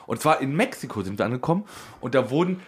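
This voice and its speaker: A man's voice: mid-range voice, very animated, fast, husky. A man telling anecdotes in a casual chat as a co-host.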